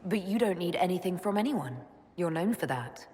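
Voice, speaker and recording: A woman's voice: on the low side, animated, normal speed, mellow, in a large hall, lightly acted. A woman playing a composed, inquisitive elf mage leader in a video game, speaking directly and mostly asking questions. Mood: curious. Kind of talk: dramatic video game dialogue.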